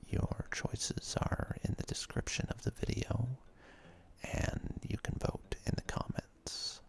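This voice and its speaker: A man hosts a video game let's play in a soft, breathy ASMR whisper. His low voice is heavily creaky and moves around with lively intonation as he comments on the play.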